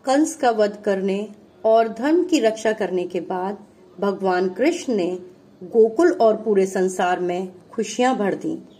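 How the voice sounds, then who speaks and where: A woman's voice: mid-range voice, animated, takes it slowly, mellow, slightly rough, low-quality recording. A woman narrating a scripted children's story as the narrator of a puppet show.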